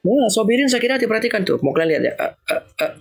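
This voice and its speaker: A young Indonesian man talks informally to his livestream viewers, repeating his points and mocking the other side. His voice sits high and carries a gravelly rasp. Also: sing-song, racing, very noisy. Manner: emphatic, repetitive, mocking.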